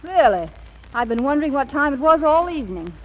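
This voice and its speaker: An actress playing a spirited, teasing young wife with an arch, sardonic manner in 1940s radio style. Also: female voice, high-pitched, big pitch swings, fairly quick. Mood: wryly defiant. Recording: muffled, noisy vintage radio recording.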